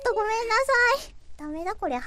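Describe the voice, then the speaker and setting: A woman's voice: very high-pitched, expressive, fast, pushed and bright, dry recording, clean studio sound. A woman voice actress between takes of a voice drama, speaking in an apologetic, cutesy way. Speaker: amateur voice actress. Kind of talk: voice drama outtakes, retakes.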